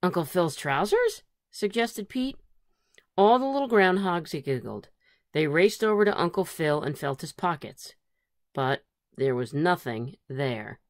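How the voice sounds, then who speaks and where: Female storybook reader, lowish pitch, very animated, dry close sound. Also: measured pace, no room sound. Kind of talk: expressive children's read-aloud.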